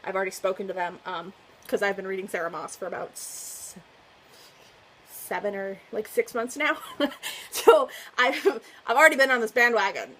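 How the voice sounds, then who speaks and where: A woman's voice: medium pitch, normal speed, a bit bright, low-quality recording. Woman book vlogger, effusive, chatty, faint room noise behind her. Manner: gushing, effusive, laughs often. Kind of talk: casual chat to camera.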